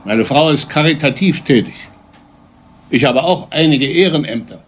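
Actor as a pompous, outraged older father, high-pitched. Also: moderate pace, slight background noise, lightly acted. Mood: indignant, shaken. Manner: pompous, indignant, declamatory. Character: outraged, respectability-obsessed father of a grown son. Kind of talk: German radio crime drama acting.

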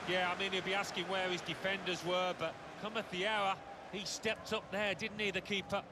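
A man acting as football co-commentator, giving calm, reflective analysis of the play. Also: high voice, moderate pace. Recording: in-game commentary with game noise.

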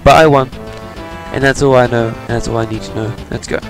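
A man's voice: medium pitch, steady tone, brisk, rough recording. A man giving casual, sarcastic commentary while playing a video game, muttering to himself. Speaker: let's player. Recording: game music underneath. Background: game music.

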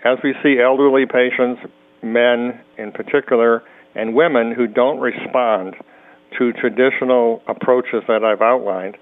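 A male urologist explaining clinical practice in a calm, measured way during a medical podcast interview. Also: mid-range voice. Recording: tinny phone-like remote audio.

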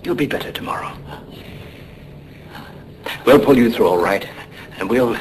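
An actor playing a formal, concerned ship's captain in a radio drama, speaking with polite, measured authority. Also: male voice, medium pitch, expressive, background noise, natural voice. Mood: grave concern.

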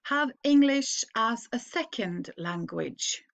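Norwegian teacher reading slowly, higher voice. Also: female voice, animated. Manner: slow, clearly phrased read-aloud with pauses. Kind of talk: slow read-aloud for learners to repeat.

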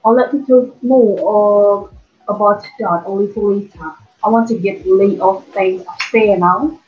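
A woman's voice: medium pitch, steady tone, a little slow, natural voice. A young woman playing a probing, dismissive male county attorney in an amateur student drama, with hesitant delivery. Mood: businesslike. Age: young adult. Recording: muffled band-limited recording, music behind.

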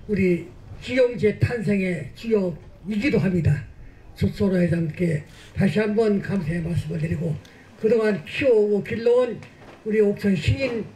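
A man's voice: high-pitched, dark tone, a little hiss or hum, rough recording. An older man giving formal, polite congratulatory remarks at an outdoor festival, speaking into a stand microphone. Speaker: guest speaker.

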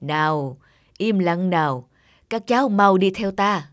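A woman's voice: fairly low pitch, expressive, a little slow, no echo, clean studio sound. A woman voicing a wise, kindly old grandfather monkey in a children's cartoon, patiently instructing. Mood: firm. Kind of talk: animated children's fairy tale acting.

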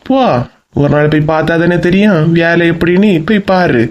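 A man's voice: on the high side, normal speed, highs cut off, close to an everyday voice. A man voicing a sceptical, teasing, grumbling middle-aged husband in a comedy cartoon, mocking and questioning, with a Kanyakumari Tamil accent. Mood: grumbling, dismissive.

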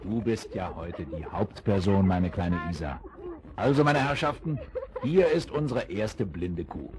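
A man's voice: deep voice, animated, unhurried. A man playing a jovial father running games at a children's party in a 1970s radio play. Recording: hissy old vinyl record.

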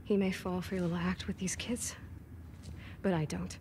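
A woman's voice: lowish voice, flat delivery, normal speed, a little mellow, slight background noise, lightly acted. Bitter, blunt young woman for a game drama, played by an actress; naturalistic, cutting, accusatory. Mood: cold, accusing. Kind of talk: naturalistic video game cutscene acting.

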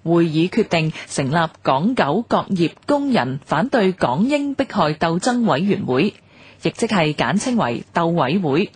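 A woman radio presenter with a Hong Kong Cantonese accent, reading a scripted documentary in a formal, measured way. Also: lowish voice, very animated, a little mellow, slight background noise.

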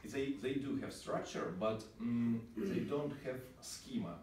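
A man's voice: mid-range voice, measured pace. Russian-accented man teaching in an echoey room. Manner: relaxed, asks the class questions. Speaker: programming teacher. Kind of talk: informal classroom lecture. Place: small meeting room with a whiteboard. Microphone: far camera. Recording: roomy, distant, noisy room sound.

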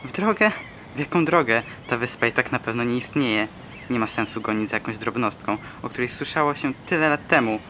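A man's voice: medium pitch, expressive, fairly quick, sharp tone, a little hiss or hum. A young man acting agitated, taunting Anger in an amateur radio play. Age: young adult. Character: Anger, an agitated, taunting, doubt-sowing island dweller.

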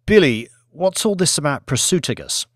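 A man's voice: on the high side, very animated, moderate pace, no room sound, studio quality, voice pushed up. A man voicing a male executive in an audiobook, terse and questioning, commanding yet uneasy. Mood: irritated, suspicious. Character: Banks, newly powerful male executive, uneasy, irritated, commanding.

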